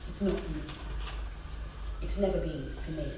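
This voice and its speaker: A woman's voice: sits low, moderate pace, dark tone, close to an everyday voice. A woman playing a fragile, defiant wife in a staged script reading, recorded in an echoey theatre. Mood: resentful. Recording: echoey theatre, distant mic, noisy.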